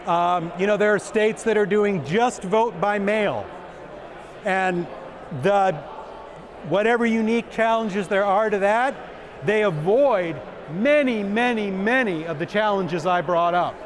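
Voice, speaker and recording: A man with a big booming voice, giving a conference talk and answering questions with jokes. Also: unhurried. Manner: joking, self-deprecating, repeats questions aloud. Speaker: conference speaker. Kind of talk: presentation and Q&A.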